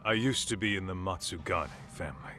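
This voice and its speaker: A man's voice: deep voice, animated, a little fast, sharp tone. A man playing a brash, loyal ex-yakuza who works as a detective's partner in an English game dub. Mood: respectful, probing. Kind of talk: video game cutscene dialogue. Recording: music behind the voice.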